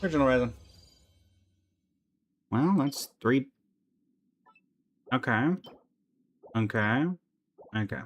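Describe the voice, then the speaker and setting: A man's voice: medium pitch, slow pace, pauses often. A man streaming a video game, giving casual live commentary, greeting viewers and making playful asides to himself and the characters.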